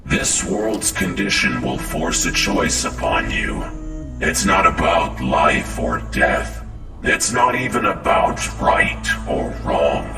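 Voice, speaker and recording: A man's voice: a bass voice, sing-song, slow, full character voice. A man playing a menacing, philosophizing sci-fi villain, delivering a portentous, scornful monologue in a video game. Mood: ominous. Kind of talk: scripted dramatic game monologue. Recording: echoey, distant, music behind.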